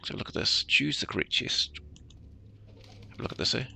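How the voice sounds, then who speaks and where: A man's voice: moderate pace, bright, forceful tone, slight background noise. A man giving casual commentary on his game, muttering to himself and tacking little questions onto remarks. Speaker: gamer commentating a playthrough.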